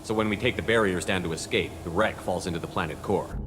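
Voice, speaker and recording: An actor playing a decisive military starship commander in a video game, brisk and commanding. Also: male voice, low voice, background noise, close to an everyday voice. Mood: resolute.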